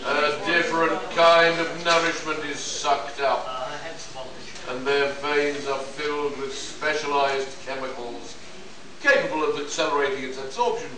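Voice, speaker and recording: A man's voice: on the high side, fairly even intonation, measured pace, lightly acted. Man acting a pompous detective-scientist, distant and echoey. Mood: pompous lecturing. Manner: pedantic, condescending, stumbling over script. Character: know-it-all male scientist detective lecturing on alien plants. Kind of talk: live amateur radio-play reading at a convention. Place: convention room, distant microphone. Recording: noisy echoey hall recording from far off.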